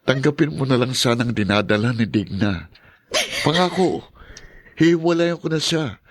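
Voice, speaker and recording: A man's voice: mid-range voice, expressive, normal speed, close to an everyday voice. An actor playing a cheating husband in a radio drama, pleading with his wife and bragging to his friend. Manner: pleading, then cocky and scheming. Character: cheating husband Alberto, smooth and sly.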